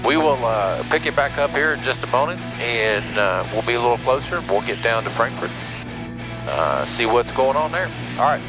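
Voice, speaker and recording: A man's voice: medium pitch, very animated, normal speed, music in the background, poor audio. A middle-aged American man chatting and explaining casually as he flies, talking into an aviation headset. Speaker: pilot and vlog host. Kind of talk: casual explanatory vlog talk while flying. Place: cockpit of small propeller airplane. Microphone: aviation headset mic. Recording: loud aircraft engine hum, headset intercom.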